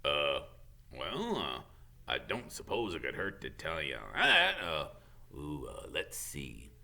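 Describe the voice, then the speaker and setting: A man acts out a big, gruff gamekeeper from a storybook. He is secretive and bumbling, mumbling through hesitations. The voice swings widely in pitch and has a bright, forceful edge. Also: wildly swooping pitch, slow, heavily acted. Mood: pondering, relenting. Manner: hesitant, mumbling with many uhs.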